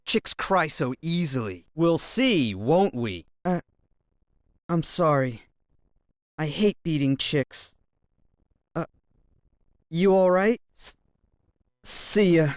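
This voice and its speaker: A cocky, brooding young male fighter from a fighting game. His short lines are delivered slowly and dramatically, with big swings in pitch. The recording is close and dry. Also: animated, dry recording. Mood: awkward, annoyed. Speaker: video game voice actor. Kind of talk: fighting game character voice clips. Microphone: close dry studio recording.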